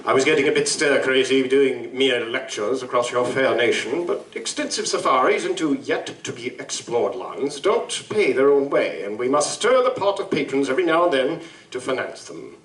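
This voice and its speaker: A man's voice: mid-range voice, sing-song, moderate pace, a bit bright. A man playing a pompous, genial English big-game vampire hunter with grandiloquent, genteel speech in a live audio drama. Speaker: stage actor. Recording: roomy live stage, distant mic.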